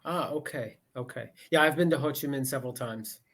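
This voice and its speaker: A man's voice: mid-range voice, brisk, a bit bright, slightly rough. A man chats as an online English tutor over a video call. He is patient and keeps his questions simple, often rewording them. He habitually says "okay" twice before moving on. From United States. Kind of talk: casual conversation lesson over video call.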